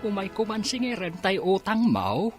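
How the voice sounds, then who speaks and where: A man's voice: sits high, big pitch swings, moderate pace, faint music behind. An Ilocano-accented man playing a long-suffering neighbour in a radio drama, complaining and pleading over a debt. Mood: aggrieved.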